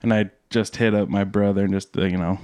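A man's voice: on the low side, fairly even intonation, fast, a little mellow, dry recording. A young man telling rambling stories in casual chat, laughing and swearing, tired from staying up all night.

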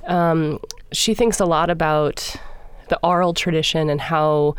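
A woman singer in a radio interview, speaking thoughtfully and pausing often to find her words. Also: deep voice, steady tone, clean tone, no echo. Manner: thoughtful, searching for words with many fillers. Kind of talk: interview conversation.

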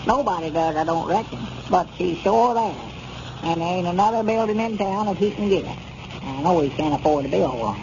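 A man's voice: high-pitched, a little fast, creaky. A man playing a gullible, admiring country storekeeper in 1930s radio comedy, with a folksy rural Arkansas drawl. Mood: confident. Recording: noisy muffled 1930s radio recording.

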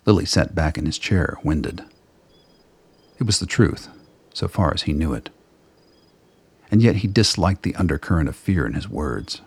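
A man narrating a scripted radio drama in a measured, literary storytelling manner. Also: a bass voice, expressive, breathy edge.

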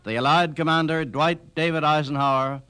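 A man's voice: fairly high pitch, fairly even intonation, moderate pace, sharp tone. A man narrating a scripted historical documentary in a dramatic, declarative way. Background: music.

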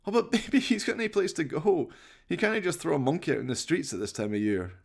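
A man's voice: a little high, lively intonation, fast talker, vocal fry, husky, voice pushed up, heavily acted. A man voicing a hapless slacker in a comic radio play, whiny and pleading, stammering and feeling sorry for himself. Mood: nervous, pleading. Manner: stammering, pleading, self-pitying.

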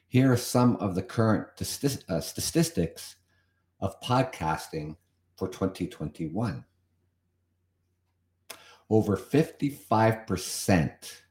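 Slow, deep-voiced Canadian podcast host. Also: male voice, darkish tone, roomy sound. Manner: chatty, repeats himself, comments on what he reads. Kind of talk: solo podcast talk reading an article.

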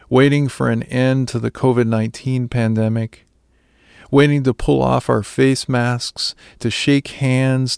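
A man delivering a scripted sermon reflection in a measured, earnest way. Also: mid-range voice, creaky, a little mellow, no echo, clean studio sound. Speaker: devotional speaker.

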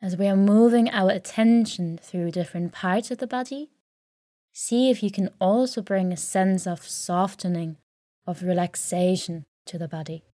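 A woman leading a guided sleep meditation in a calm, soothing, gentle way, leaving long pauses between phrases. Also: mid-range voice, dry recording, studio quality. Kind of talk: guided sleep meditation, soft scripted narration.

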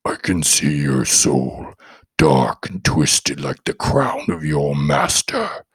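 A man voicing a menacing demon speaking through a possessed boy, slow and deliberate. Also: rumbling low, very animated, husky, dry recording, studio quality, heavily acted.

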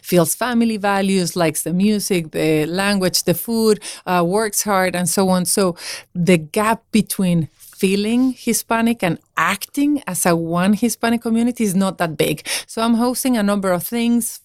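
A woman's voice: mid-range voice, darkish tone, no echo, studio quality. A woman speaking as an interview guest and community advocate, giving earnest conversational answers with frequent restarts and filler phrases.

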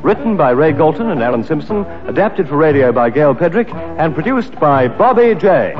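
A man's voice: on the high side, sing-song, normal speed. A male radio announcer reading out formal programme credits. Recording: dull old radio broadcast with music and audience.

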